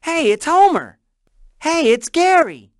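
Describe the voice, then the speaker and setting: A man's voice: extremely high pitch, very animated, slow pace, no room sound, studio quality, full character voice. A man voicing a cheerful, joking young male dragon hero in a cartoon video game. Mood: upbeat. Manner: breezy, joking.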